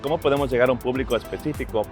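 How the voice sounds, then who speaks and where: A man's voice: medium pitch, racing. A man in his thirties, born in Ecuador, speaking earnestly about his work and family in a personal interview, often restarting his phrases. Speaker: social media strategist. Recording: clear music bed behind voice. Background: music.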